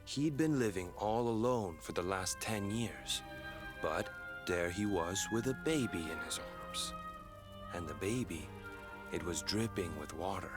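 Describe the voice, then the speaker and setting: A man's voice: sits low, expressive, a little slow. An actor playing a young village fisherman, a defensive, grieving grandson telling his family's history earnestly in a video game. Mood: grave storytelling. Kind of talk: scripted video game voice acting. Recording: game music under the voice.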